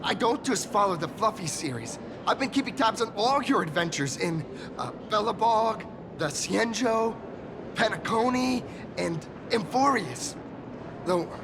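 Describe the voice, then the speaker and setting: A man voicing an excitable, starstruck young masked hero in an anime-style video game, earnest and humble, stammering when flustered. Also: sits high, noisy background, full character voice.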